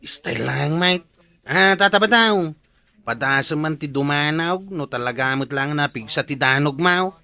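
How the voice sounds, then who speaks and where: A man's voice: on the high side, a little slow, bright, forceful tone. A man playing a skeptical, teasing village watchman in an Ilocano comedy radio drama, with an Ilocano accent. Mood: teasing, reproachful.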